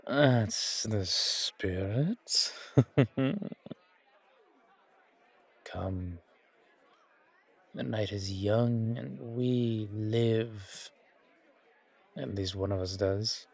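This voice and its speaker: A man playing a suave, centuries-old vampire in a horror ASMR roleplay, languid and genteel with quiet menace and a husky, breathy voice. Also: on the low side, very slow, long pauses, some chatter behind, full character voice. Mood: charming.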